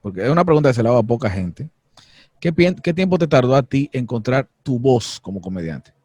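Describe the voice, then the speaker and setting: A man's voice: mid-range voice, big pitch swings, brisk, a little mellow, dry recording. A man hosting an interview, asking playful, probing questions in a casual conversation. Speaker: interviewer host.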